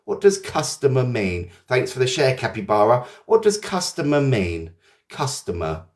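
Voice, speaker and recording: A man's voice: medium pitch, sing-song, normal speed. A man with an English accent hosting a live English lesson, cheerfully greeting viewers by name as they join. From England. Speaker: English teacher.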